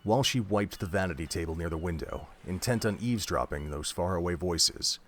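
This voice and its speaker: A man's voice: low-pitched, brisk, fairly bright tone. A man reading scripted narration for an audio drama, telling the story in prose.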